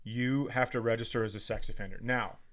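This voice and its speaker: A man's voice: mid-range voice, steady tone, fairly quick, fairly bright tone. A man who co-hosts a comedy podcast, telling stories and bantering casually in a crude, profane, joking way. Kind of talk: casual comic storytelling and banter.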